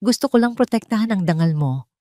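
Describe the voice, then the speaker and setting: A woman voicing a meek but calculating betrayed wife with a hesitant, hushed manner in an audio drama. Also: medium pitch, expressive, fast, no echo, studio quality, natural voice. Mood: hesitant, insinuating.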